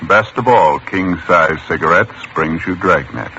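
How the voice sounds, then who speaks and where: A man working as a radio announcer with a measured, formal 1950s broadcast delivery. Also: sits low, noisy background. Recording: dull old radio broadcast with music.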